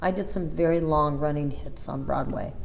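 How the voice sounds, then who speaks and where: A woman in her fifties, a dancer, chatting in an interview and telling animated stories with many restarts and asides. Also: deep voice, moderate pace, vocal fry, slight background noise. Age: 50s. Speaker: interview guest, Broadway dancer. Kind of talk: casual interview chat.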